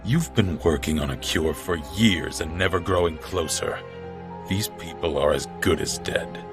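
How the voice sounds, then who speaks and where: An actor playing a stern, blunt royal advisor in an audio drama, accusing in manner. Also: male voice, low-pitched, a little slow, over music. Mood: stern, angry.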